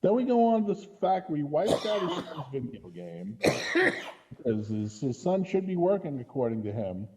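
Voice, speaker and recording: A man's voice: on the high side, very animated, moderate pace, dull audio, a crowd in the background. A man giving casual, critical film commentary on a podcast in an exasperated way, often checking his points with a questioning tag. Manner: exasperated, punctuates points with "right?". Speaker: podcast co-host or guest critic.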